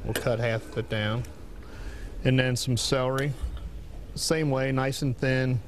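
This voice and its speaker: A middle-aged man, a diner chef and studio guest, walks through a recipe in a plain, relaxed way, stopping for the odd "uh". His voice sits at an ordinary pitch for a man, with a faint hiss under the lapel mic. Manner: plain-spoken and relaxed, with frequent "uh" pauses. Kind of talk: cooking demonstration. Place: TV studio kitchen set.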